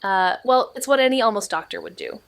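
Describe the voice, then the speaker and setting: A woman's voice: mid-range voice, expressive, a little fast, creaky, dry recording. An actress playing a wry, composed young woman detective in a naturalistic audio drama, speaking in a dry, understated way. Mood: casual, hesitant. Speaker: lead actor and narrator in an audio drama. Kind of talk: naturalistic noir podcast drama acting.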